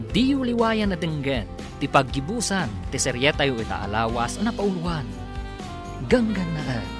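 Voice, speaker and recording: Lively male radio drama announcer. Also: on the high side. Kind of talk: scripted narration, closing credits. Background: music.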